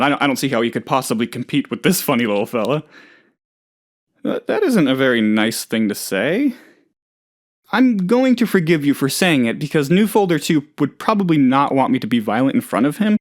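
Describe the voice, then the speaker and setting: Goofy excitable office boss, video game character, highish pitch, very animated intonation, rambling, gullible, hesitant. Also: male voice, normal speed. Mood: rambling, defensive. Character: naive, excitable, oddball male office boss.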